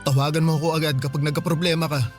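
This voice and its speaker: A man's voice: fairly high pitch, level tone, very fast, natural voice. A man plays a young Filipino boyfriend, anxious and earnest. He speaks hesitantly and pleadingly in a Filipino accent, in the manner of radio drama, over faint music. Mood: pleading, caring.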